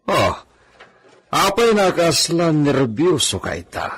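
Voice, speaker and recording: A man's voice: a little high, sing-song, slow, fairly bright tone, slight background noise, exaggerated voice. A man with an Ilocano accent playing a commanding landowner in a radio drama. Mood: grave, commanding. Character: commanding landowner giving orders to his trusted man.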